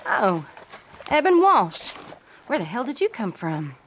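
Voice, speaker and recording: Proud Southern young wife, expressively pitched. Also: female voice, sing-song, measured pace, a little hiss or hum, poor audio. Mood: tart, guarded. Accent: American South. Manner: sharp-tongued, guarded. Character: guarded, proud young married Southern woman in 1930s. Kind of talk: old-time radio drama acting.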